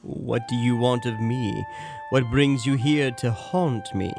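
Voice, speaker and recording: An actor playing a nervous, querulous old miser in a radio drama, stammering, repeating himself and pleading. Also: male voice, mid-range voice, slow pace, vocal fry, over music. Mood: wary. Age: older.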